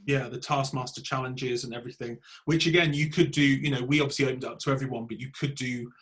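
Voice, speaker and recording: A man's voice: mid-range voice, fairly even intonation, fast, a bit bright. British man hosting a casual discussion. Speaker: podcast host.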